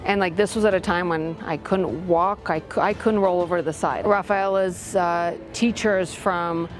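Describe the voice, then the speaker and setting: A woman's voice: fairly low pitch, fairly even intonation, moderate pace. A woman from Latvia, a school parent and board member, giving a candid testimonial with frank, self-deprecating humor and open feeling. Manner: heartfelt, open about feelings. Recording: music bed under the voice.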